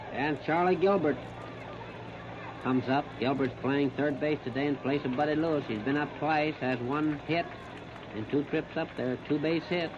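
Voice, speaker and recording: An American man calls live baseball play-by-play on the radio in short, plain-spoken, folksy phrases. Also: on the high side. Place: outdoor ballpark. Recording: noisy, muffled 1930s radio broadcast recording.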